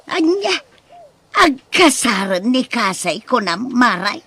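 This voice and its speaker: An actress playing a nosy, exclamatory village gossip in an Ilocano radio drama. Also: female voice, on the high side, sing-song, takes it slowly, sharp tone, husky, full character voice. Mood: scandalized, gossipy.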